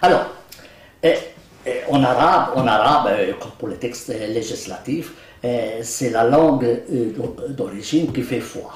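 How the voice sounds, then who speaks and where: Brief: older man, Arabic native, lively up-and-down delivery, joking and hesitant, recorded at a distance in an echoey room. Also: medium pitch, a little slow. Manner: animated, joking, laughs often, many hesitations. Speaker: Quran translator being interviewed. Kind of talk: casual interview conversation. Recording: roomy, mic at a distance.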